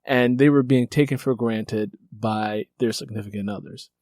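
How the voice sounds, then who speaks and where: A man with a mid-range voice hosts a conversational author interview. He rambles, circling back through his points like an animated storyteller. The sound is dry, with no room around it. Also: mid-range voice, moderate pace, dry recording. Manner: animated storyteller who acts out remembered exchanges; rambling, repeats "you know". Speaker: interviewer and novelist.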